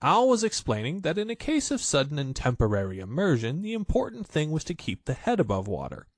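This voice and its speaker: A man reading a children's story aloud as an expressive audiobook narrator. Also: fairly high pitch, brisk, no room sound, clean studio sound.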